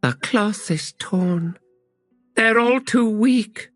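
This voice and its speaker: A man puts on the high voice of a fierce young girl in an audiobook, far above his own register, chanting her lines slowly and with impassioned force. The recording is dry and close. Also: no echo, faint music behind. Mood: intense.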